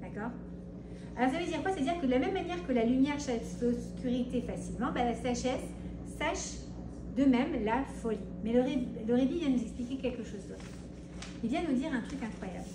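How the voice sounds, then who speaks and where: A middle-aged woman teaches Torah with a high, lively voice and acts out her listeners' pleas. She is heard from a distance in an echoing room. A clear hiss runs under her voice. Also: fairly quick. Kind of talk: spoken Torah class to women. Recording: roomy, distant mic with hiss.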